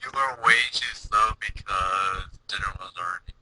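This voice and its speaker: A man's voice: deep voice, normal speed, very noisy. A young adult man in casual chat as a listener on a group voice call.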